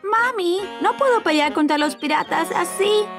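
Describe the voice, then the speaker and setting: High-pitched excitable little boy. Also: moderate pace, music in the background. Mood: pleading. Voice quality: high childlike voice. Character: excitable four-year-old boy with big imagination. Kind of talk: dubbed children's cartoon acting.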